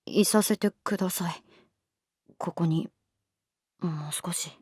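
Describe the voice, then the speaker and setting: A woman's voice: fairly low pitch, fairly even intonation, slow, a little mellow, slightly rough, no room sound, clean studio sound. A woman voicing a wounded, guarded runaway middle-school girl in a Japanese voice drama, speaking hesitantly and tersely. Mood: timid pleading. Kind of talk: Japanese voice drama acting, with inner monologue.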